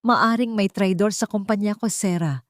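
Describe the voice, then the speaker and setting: Woman reading as a gentle, protective executive man. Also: medium pitch, moderate pace, no room sound, clean studio sound, natural voice. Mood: alarmed, protective. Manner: soft-spoken, earnest.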